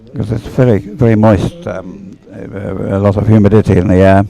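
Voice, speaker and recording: A man giving live sports commentary on boat racing, speaking in a hesitant, unhurried, explanatory way. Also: on the low side, level tone, creaky, warm tone. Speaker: race commentator.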